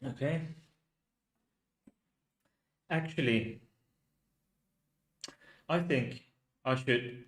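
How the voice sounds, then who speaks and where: Slow, halting Finnish man. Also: mid-range voice, fairly bright tone, roomy sound. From Finland. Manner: slow, halting, rhetorical questions. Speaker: channel host. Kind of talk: livestream commentary reading chat.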